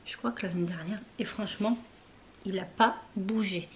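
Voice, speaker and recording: A young woman vlogging casually from her bedroom, rambling with lots of fillers and often dropping into a soft whisper. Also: medium pitch, moderate pace, a little hiss or hum. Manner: chatty and rambling, many fillers and trailing phrases. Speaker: beauty vlogger. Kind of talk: casual chat to camera.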